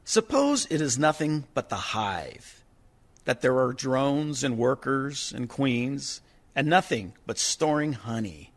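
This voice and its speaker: Man acting a slow, earnest small-town philosopher. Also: mid-range voice, sing-song, exaggerated voice. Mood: reflective. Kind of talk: dramatic recitation of free-verse poem for radio play.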